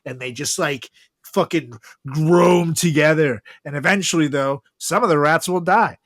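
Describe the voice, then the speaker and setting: A man in a casual comedic podcast chat, telling stories in a profane, animated way. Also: on the high side, moderate pace, dry recording, clean studio sound. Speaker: podcast host.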